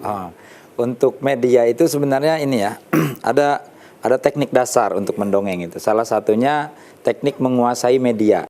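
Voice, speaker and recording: Storyteller guest, male, mellow and dark-voiced, measured explainer in a studio chat. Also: medium pitch. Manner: measured, explanatory, with frequent restarts and lists. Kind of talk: studio talk show explanation. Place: television studio.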